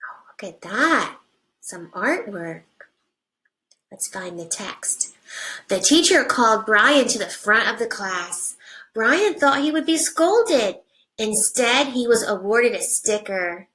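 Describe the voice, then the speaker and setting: A woman teacher reading a picture book aloud to young children, with simple deliberate phrasing and hushed asides. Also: fairly high pitch, sing-song, low-quality recording. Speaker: first grade teacher.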